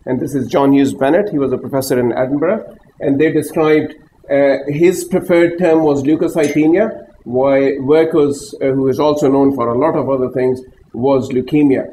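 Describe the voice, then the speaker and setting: A middle-aged man from Pakistan, a consultant haematologist, giving a conference talk to patients in a small room, halting and full of fillers. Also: medium pitch, dark tone, slight background noise, poor audio. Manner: hesitant, many fillers, rambling asides.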